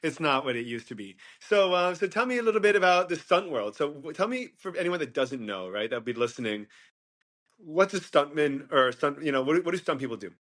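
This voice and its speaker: A man's voice: on the high side, very animated, brisk, a bit bright. Rambling male podcast host. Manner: rambling, many fillers and restarts. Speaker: host, interviewer. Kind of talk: casual interview.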